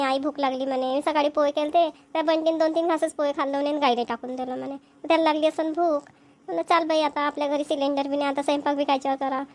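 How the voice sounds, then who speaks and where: A high-pitched cartoon village housewife, played by a woman, harried and full of complaints. She chats and grumbles repetitively in a Vidarbha Marathi accent. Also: a little mellow, lightly acted. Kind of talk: amateur cartoon comedy dialogue.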